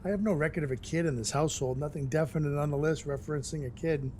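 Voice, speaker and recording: An actor playing a sardonic dwarf who works as Santa's enforcer, telling his story in a wry, mock-polite deadpan for an audio drama. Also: a little high, fast talker, slight background noise, close to an everyday voice. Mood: dismissive. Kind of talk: first-person audio drama narration with dialogue. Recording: music behind the voice. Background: music.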